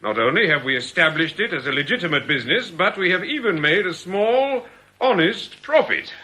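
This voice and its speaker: An actor playing a genial, piously courteous English boss of ex-convict swindlers in a radio drama, suave and measured. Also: male voice, sits high, very animated, pushed and bright, full character voice. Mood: grandly genial. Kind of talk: British radio drama acting. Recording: faintly noisy radio drama recording.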